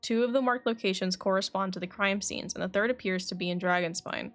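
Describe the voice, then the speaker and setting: Woman game streamer, medium pitch, reads text aloud, self-correcting, casual commentary, soft music behind. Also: quick pace. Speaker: game livestreamer.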